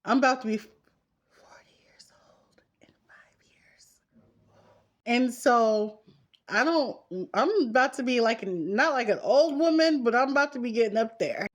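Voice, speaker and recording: A woman's voice: on the high side, lively intonation, unhurried, long pauses. A woman in her thirties chatting casually and candidly to her viewers, as in a get-ready-with-me vlog. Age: 30s. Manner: candid, conversational, talking straight to women viewers. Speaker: vlogger. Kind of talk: casual confessional chat while getting ready.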